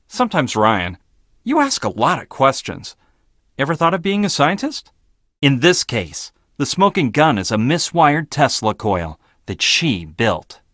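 A man's voice: mid-range voice, fairly bright tone, dry recording, clean studio sound, heavily acted. An actor playing a prickly, condescending young male scientist in a video game, with clipped, sardonic delivery. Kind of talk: video game character dialogue.

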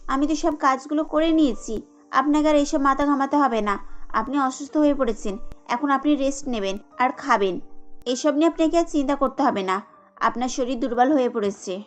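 A very high, young female cartoon voice acted by a woman with music behind it. She is a dutiful, caring daughter-in-law, earnest and deferential to her elders. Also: fairly quick, close to an everyday voice. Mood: caring, reassuring. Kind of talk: Bengali cartoon family drama acting.

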